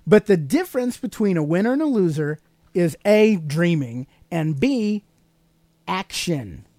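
A man's voice: high-pitched, big pitch swings, unhurried, warm tone, dry recording, studio quality. A middle-aged American man hosting a podcast, giving an emphatic, preachy motivational talk full of rhetorical questions. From United States. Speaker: podcast host.

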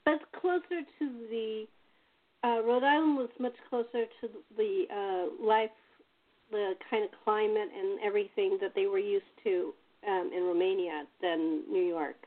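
An American woman from Los Angeles hosting a casual chat in a rambling, repetitive way over tinny phone-like audio. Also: mid-range voice. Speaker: host and playwright.

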